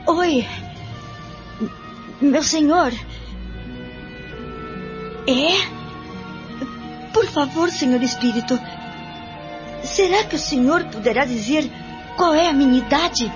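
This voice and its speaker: A woman's voice: high-pitched, animated, slow pace, pauses often. A woman playing a timid, frightened lady in a radio drama, speaking hesitantly and stammering. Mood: anxious, pleading. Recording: dull lo-fi radio play, music underneath.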